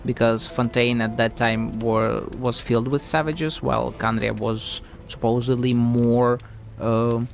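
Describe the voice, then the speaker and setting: A man's voice: medium pitch, steady tone, a little slow, vocal fry, faint music behind. A man giving thinking-aloud commentary as a game streamer, hesitant and musing.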